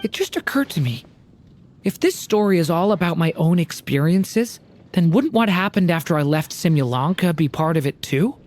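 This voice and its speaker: An actor voicing a gentle, unsure young game character, speaking softly with hesitant stammers. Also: male voice, fairly high pitch, expressive, moderate pace, lightly acted. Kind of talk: video game voice acting.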